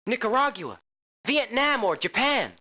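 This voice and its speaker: Brief: officious drill instructor, high bright barking delivery. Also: female voice, sing-song, unhurried, full character voice. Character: stiff, gossipy army drill instructor.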